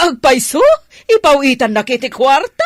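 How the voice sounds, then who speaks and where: A scheming old Filipino grandmother in a radio drama. She talks slowly, her fairly high voice swooping widely as her sharp tongue gets to work. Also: expressive, no room sound, studio quality. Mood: pleased, fawning.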